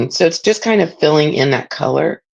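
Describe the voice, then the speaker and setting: A middle-aged woman, raspy from a spring cold, chatting casually as she hosts a live craft demo and greets viewers by name. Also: deep voice, animated, normal speed, dull audio. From Southern California. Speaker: craft livestream host. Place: home craft room.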